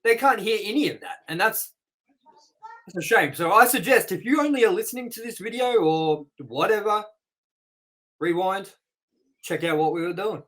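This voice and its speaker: A young man hosts a casual review podcast with crude jokes and a steady stream of swearing. His voice sits a little on the high side. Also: normal speed, long pauses. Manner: crude, joking banter with frequent swearing. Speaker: podcast host.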